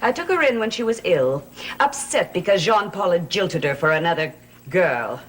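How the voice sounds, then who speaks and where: A woman's voice: lowish voice, very animated, moderate pace. An actress playing a proud, scheming woman in a gothic soap opera, speaking in a haughty, dramatic manner. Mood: haughty, guarded. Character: proud, scheming small-town woman with lost occult powers. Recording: old TV soundtrack, loud music behind.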